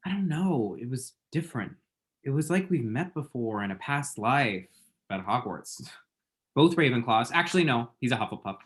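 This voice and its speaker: A man's voice: medium pitch, animated, moderate pace, close to an everyday voice. Man playing an awkward, wry romantic lead. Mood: musing. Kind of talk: screenplay table read.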